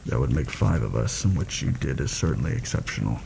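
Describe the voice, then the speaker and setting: A man playing a seasoned fantasy assassin in a soft-spoken ASMR roleplay, measured and deliberate, wary and proud. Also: very deep voice, lively intonation, gravelly, noisy background, heavily acted. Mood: guarded interest.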